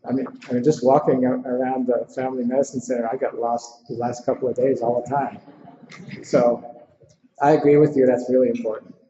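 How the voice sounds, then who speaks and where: A man's voice: mid-range voice, little pitch movement, fairly quick, warm tone. An American man answering audience questions in an informal lecture, speaking in a thoughtful, conversational, somewhat hesitant way. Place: indoor lecture room with audience. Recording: muffled, echoey lecture hall with background noise.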